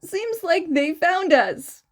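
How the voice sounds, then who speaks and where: A sheepish boy in a storybook reading, voiced by a woman. He is eager to explain himself, in a high voice with lively swings. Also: slow, full character voice.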